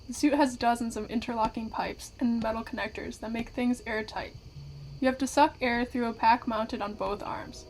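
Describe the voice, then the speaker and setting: An actress playing a curious, courteous investigative podcaster in an audio drama, speaking conversationally. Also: female voice, on the high side, fairly even intonation, moderate pace, darkish tone, slight background noise, lightly acted, rough recording. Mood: wry, explanatory. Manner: courteous, conversational, addresses listeners directly. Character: curious, polite female investigative podcaster among zombie fighters.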